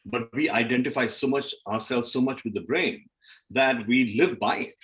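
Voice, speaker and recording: A man's voice: medium pitch, moderate pace, fairly bright tone. Muffled recording of a candid, conversational man. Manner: informal, self-questioning, acts out inner dialogue. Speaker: guest speaker on a literary festival panel. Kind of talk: candid conversational panel talk about personal experience.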